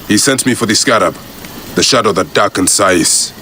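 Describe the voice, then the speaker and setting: A man voicing a determined warrior protector in a dramatic video game, terse and measured in his delivery. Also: lowish voice, a little hiss or hum. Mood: resolute. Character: steady, determined warrior protector seeking a villain. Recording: loud music behind.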